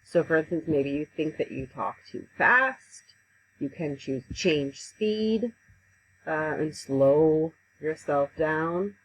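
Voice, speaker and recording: A woman giving a casual, conversational software tutorial over a headset mic, with frequent ums. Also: deep voice, lively intonation, slow pace, slight background noise, rough recording. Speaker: instructor. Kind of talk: screen-recorded software tutorial.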